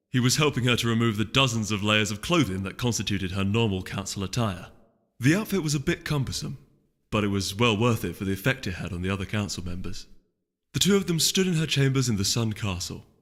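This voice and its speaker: Bright-voiced man narrating in long reverb. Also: on the low side. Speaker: audiobook reader of fan fiction. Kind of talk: scripted dramatic narration. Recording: long reverb, music underneath. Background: music.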